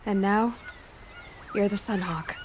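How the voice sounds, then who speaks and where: A woman's voice: medium pitch, slow pace, background noise, natural voice. An actress playing a plain-spoken young woman hunter in a video game, talking in a casual, direct, wry way. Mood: friendly, curious. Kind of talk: naturalistic video game acting.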